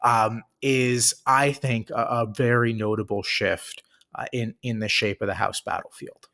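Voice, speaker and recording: A man's voice: medium pitch, steady tone, unhurried, vocal fry, bright, forceful tone. A man co-hosting a political podcast, explaining fluently with light laughs. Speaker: podcast co-host, political analyst.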